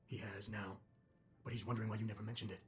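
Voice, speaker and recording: Man acting a fast, terse security chief. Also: on the low side, faint music behind, lightly acted. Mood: suspicious, probing. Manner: deadpan, clipped. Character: terse, guarded augmented male corporate security chief. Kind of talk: video game cutscene acting.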